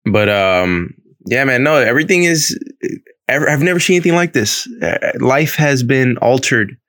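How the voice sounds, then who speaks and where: A man's voice: mid-range voice, lively intonation, moderate pace, fairly bright tone, no room sound, clean studio sound. A young man co-hosting a podcast from home over a video call, teasing and joking with his co-host. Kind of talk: casual chat.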